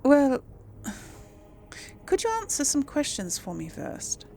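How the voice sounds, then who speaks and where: A woman doing casual, chatty video game commentary with a creaky edge to her voice. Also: sits high, very animated, slow pace, mellow, a little hiss or hum. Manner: chatty, talks to the audience. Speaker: gaming channel host playing through a role-playing game. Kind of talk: casual gameplay commentary with in-character dialogue read-alongs.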